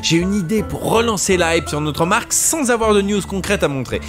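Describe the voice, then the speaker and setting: A man's voice: high voice, animated, brisk, over music. A man playing a pompous start-up CEO in a comedic audio drama, speaking grandiosely and sprinkling in English buzzwords. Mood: self-satisfied. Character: pompous male start-up CEO spouting business jargon.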